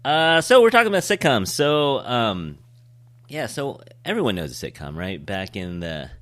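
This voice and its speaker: A man hosting a podcast in a casual, teasing, animated way. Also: mid-range voice, moderate pace, no room sound. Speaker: podcast host.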